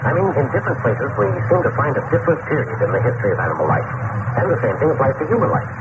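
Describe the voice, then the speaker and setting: Male soldier of fortune for a male actor, vintage radio drama: inquisitive, earnest, rapid delivery, thin and very noisy recording. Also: mid-range voice, sing-song, phone-quality sound, lightly acted. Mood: curious, puzzled. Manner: brisk, probing, earnest. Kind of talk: 1940s radio serial acting.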